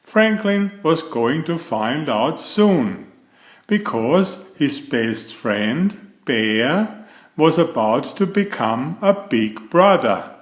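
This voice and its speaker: Slow, lively man reading a storybook, echoey room. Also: on the high side. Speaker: storybook narrator. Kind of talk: read-aloud children's story narration.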